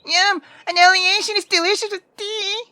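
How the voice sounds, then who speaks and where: A man's voice: very high-pitched, steady tone, normal speed, sharp tone, no room sound, pitched above the performer's own voice, heavily acted. A man voicing a feisty, indignant young woman from a visual novel, sarcastic and dramatic. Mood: playful, mock dramatic. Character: feisty, sharp-tongued young woman venting her grievances. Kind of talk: visual novel character reading.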